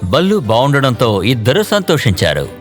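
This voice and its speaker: A man narrating an animated children's story in an expressive storytelling manner. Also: mid-range voice, moderate pace. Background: faint background music.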